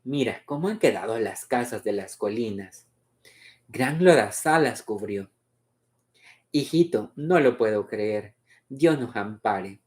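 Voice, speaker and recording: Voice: mid-range voice, lively intonation, takes it slowly, a little mellow. A story reader voicing an anxious, devout mother who worries and reassures. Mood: dismayed. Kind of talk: storybook read-aloud dialogue.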